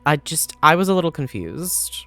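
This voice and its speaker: A man's voice: fairly high pitch, very animated, normal speed, over music. A man hosting a let's-play with casual, slangy, offhand commentary. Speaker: gaming host.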